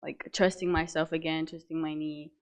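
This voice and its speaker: A woman's voice: deep voice, normal speed. A young woman student athlete answering interview questions casually, with easy laughs and lots of filler phrases. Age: young adult. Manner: relaxed, laughs easily, lots of "you know".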